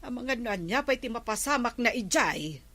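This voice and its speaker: A woman's voice: a little high, animated, moderate pace, a bit bright. A woman with an Ilocano accent playing a nagging village woman in a comedy drama, exclaiming and scolding. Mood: concerned.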